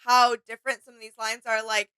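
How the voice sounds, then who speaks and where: A woman's voice: a little high, little pitch movement, fairly quick, sharp tone, smooth, no room sound. A woman co-hosting a reaction video, chatting casually in an agreeable, appreciative way.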